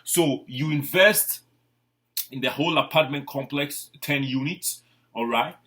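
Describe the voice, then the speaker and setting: A man's voice: mid-range voice, measured pace, fairly bright tone. A man from Kenya with a Kenyan accent, a real estate agent giving an informal explanatory presentation in a repetitive, emphatic way with frequent check-in words.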